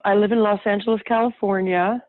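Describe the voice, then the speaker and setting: A woman's voice: mid-range voice, steady tone, fairly quick, no room sound. A woman whose first language is French, a podcast guest telling her story in an earnest, rambling way with frequent restarts. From France. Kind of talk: conversational interview storytelling. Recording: dry tinny phone-like line.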